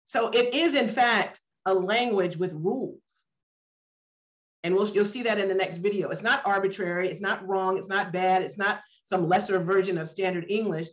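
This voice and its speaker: A woman's voice: mid-range voice, moderate pace, rough recording. Emphatic woman facilitating an online workshop. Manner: emphatic, animated, repeats for emphasis. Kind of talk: leading an online discussion. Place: room with a sloped ceiling. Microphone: laptop webcam on a video call.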